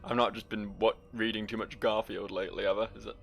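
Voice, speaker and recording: A man's voice: fairly low pitch, flat delivery, quick pace, vocal fry. A man giving casual, joking let's play commentary, rambling and teasing as he talks to the game's character. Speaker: gaming video host.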